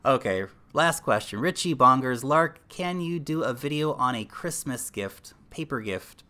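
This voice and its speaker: Bright-voiced man chatting casually with viewers. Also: mid-range voice, lively intonation, a little slow. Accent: American. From New Zealand. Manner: chatty, addresses viewers as guys, thinks aloud with fillers. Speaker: livestream host. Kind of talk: casual livestream chat reading viewer comments.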